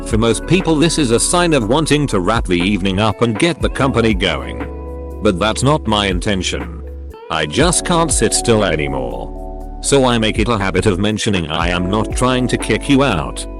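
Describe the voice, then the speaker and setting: A man narrating, reading forum posts aloud in a steady, even delivery. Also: fairly low pitch. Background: background music.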